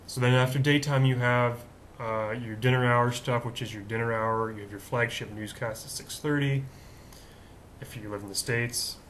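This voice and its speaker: A man with a deep voice hosting his own daily vlog, rambling in a chatty, self-mocking way with lots of fillers. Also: steady tone, normal speed, fairly bright tone, slight background noise. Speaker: vlog host. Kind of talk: casual rambling vlog monologue.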